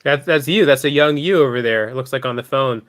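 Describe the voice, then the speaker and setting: A man's voice: mid-range voice, a little fast, a bit bright. Upbeat male interview host. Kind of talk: casual interview over video call. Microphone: video call.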